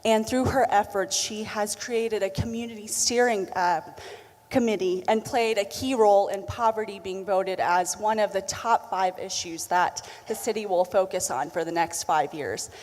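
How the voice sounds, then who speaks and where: A woman with a Southern American accent moderates a panel in a large hall, introducing the speakers in a friendly tone. Her pitch sits in the middle range. Her voice carries a long reverb from the room. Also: moderate pace, darkish tone. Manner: friendly, reading guest introductions with fillers. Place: conference hall.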